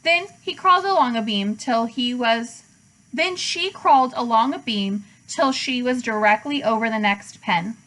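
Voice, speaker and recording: A woman reads a children's storybook aloud. Her voice sits a little high, and she narrates as a teacher does for young listeners. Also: unhurried, fairly bright tone, rough recording.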